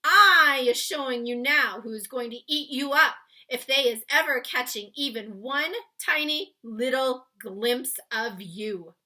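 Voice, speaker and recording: Storybook giant played by a woman: friendly, excitable, blustering, forceful and unhurried, folksy muddled grammar. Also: high voice, pitched above the performer's own voice, exaggerated voice. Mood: firm, warning. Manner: garbled grammar, invented words, emphatic. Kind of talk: children's storybook read-aloud.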